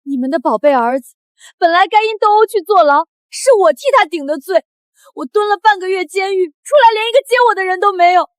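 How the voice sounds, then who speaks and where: A wronged teenage daughter in a short drama, pitched high and swinging widely. She speaks with bitter, defiant pride and a melodramatic edge. The sound is dry and close. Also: animated, brisk, dry recording. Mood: indignant, hurt. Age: young adult. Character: wronged eighteen-year-old daughter, bitter, defiant, fiercely proud.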